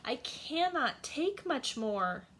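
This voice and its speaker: A woman's voice: high voice, sing-song, takes it slowly, a bit bright, a little hiss or hum, heavily acted. A woman voicing a small, tired mouse from a children's storybook. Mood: exasperated, pleading.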